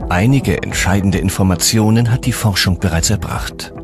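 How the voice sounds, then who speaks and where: Low-voiced male documentary narrator over music. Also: normal speed. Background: background music.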